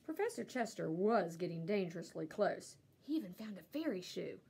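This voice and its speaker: A woman reading a children's storybook aloud like a teacher, expressively and with animated delivery. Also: fairly low pitch, vocal fry, husky. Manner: animated, pausing to ask listeners questions.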